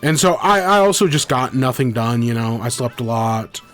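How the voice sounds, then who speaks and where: A man's voice: medium pitch, lively intonation, moderate pace, fairly bright tone, soft background music. A young man hosting a gaming podcast in a casual, rambling way, congested from a cold with a scratchy throat. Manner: rambling, self-correcting. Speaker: podcast host. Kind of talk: casual livestream chat.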